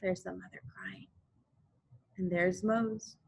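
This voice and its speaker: A woman teacher reading a children's storybook aloud expressively and deliberately, pausing to explain things to young listeners. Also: fairly low pitch, fairly even intonation, vocal fry, slightly rough. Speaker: elementary school teacher.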